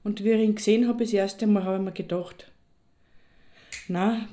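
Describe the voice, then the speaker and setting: A woman's voice: mid-range voice, fairly even intonation, normal speed, warm tone, slight background noise, natural voice. An actress with an Austrian accent playing a middle-aged divorced woman telling an anecdote in a wry, matter-of-fact, conversational way for an audio drama. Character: reluctant divorced woman recounting a matchmaking party.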